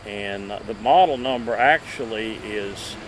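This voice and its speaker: A man in his fifties presenting a casual show-and-tell as a video host, halting and lingering over his words, recorded outdoors a few feet from the camera. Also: fairly low pitch, rough recording. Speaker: vintage radio collector and video host. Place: outdoor table in a wooded yard. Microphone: camera a few feet away. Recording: steady outdoor background noise.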